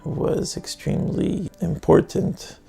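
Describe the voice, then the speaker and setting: A man's voice: high voice, fairly even intonation, takes it slowly, creaky, mellow, husky. A man speaking about his community in a testimonial for a promotional video. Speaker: community member giving a testimonial. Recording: music playing behind the voice.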